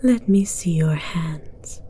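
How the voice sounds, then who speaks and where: A woman voicing an imperious, amused dragon queen in a breathy, teasing whisper for an ASMR roleplay, speaking in a regal, formal way. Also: sits low, animated, takes it slowly, warm tone, a little hiss or hum. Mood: probing, teasing.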